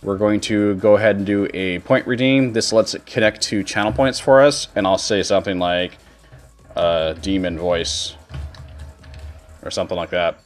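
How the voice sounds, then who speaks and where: A man giving a casual software tutorial as a streamer, explaining things informally step by step. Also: lowish voice, moderate pace. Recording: music behind the voice. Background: background music.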